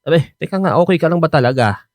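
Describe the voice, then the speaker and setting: A man's voice: a little high, expressive, quick pace, no echo. Older Filipino womanizer in love. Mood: playful, coaxing. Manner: hesitant, coaxing, peppered with interjections. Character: older playful womanizing man, earnest suitor in love. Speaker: voice actor in a radio drama. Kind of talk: Filipino radio drama acting.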